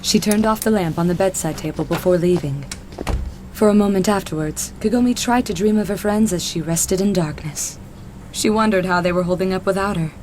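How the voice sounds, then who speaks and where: A woman narrates a scripted story. Her pitch is on the low side for a female narrator. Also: brisk, background noise.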